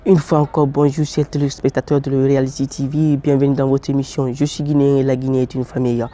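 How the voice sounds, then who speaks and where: A man's voice: medium pitch, level tone, quick pace, warm tone, a little hiss or hum. A man presenting a street-interview show in a formal, announcing way. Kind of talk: scripted presenting.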